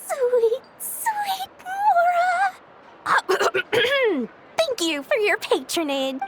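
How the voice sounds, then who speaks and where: A woman voicing a sly, money-loving young female merchant in a smug, syrupy, theatrical way for an animated game. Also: very high voice, extremely slow, very noisy, exaggerated voice. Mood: gleeful. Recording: loud music behind.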